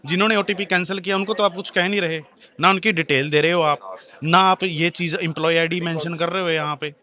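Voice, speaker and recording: A man's voice: on the high side, steady tone, fairly quick, pushed and bright. A man making a heated phone complaint, arguing insistently and repeating his demands.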